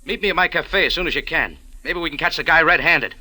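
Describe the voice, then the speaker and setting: A man's voice: fairly high pitch, fast talker, bright, forceful tone, dry recording. A man playing a tough, wry cafe owner and amateur sleuth in an old radio drama, telling it in a clipped, dry, hard-boiled way. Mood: urgent. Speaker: lead actor and first-person narrator in a radio drama. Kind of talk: 1940s-50s hard-boiled radio detective acting. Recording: old radio recording with music behind.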